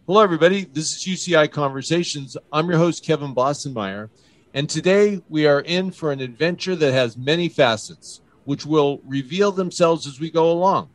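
A man's voice: a little high, normal speed, no room sound. Upbeat male radio talk show host. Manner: upbeat, emphatic announcer delivery. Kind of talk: enthusiastic radio interview.

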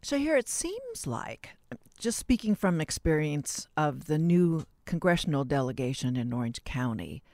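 A man interviewing conversationally, phrasing questions haltingly as he thinks aloud. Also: on the high side, sing-song, a little mellow, dry recording, clean studio sound.

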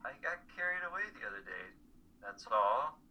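A man's voice: fairly low pitch, sing-song, normal speed, lo-fi sound. A young man playing a depressed, defensive, drunk college student in a play reading, slightly slurring his words. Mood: sheepish, evasive. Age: young adult.

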